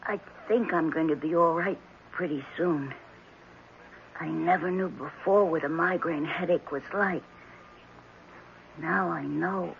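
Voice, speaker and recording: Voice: mid-range voice, measured pace, creaky, pauses often. A performer voicing an eerie, earnest orphan boy with simple, insistent phrasing in an old-time radio drama. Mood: weak, matter-of-fact. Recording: dull, noisy old radio recording.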